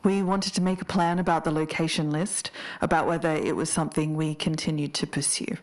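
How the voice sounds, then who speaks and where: A woman's voice: deep voice, steady tone, brisk. A woman co-hosting an investigative podcast, moving between scripted narration and casual talk. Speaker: podcast co-host.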